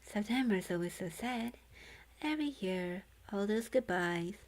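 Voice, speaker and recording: A woman's voice: medium pitch, expressive, measured pace, a little mellow, close to an everyday voice. A woman voicing a friendly, chatty ghost with folksy, loose-grammared speech in a storybook read-along. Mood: folksy, wistful.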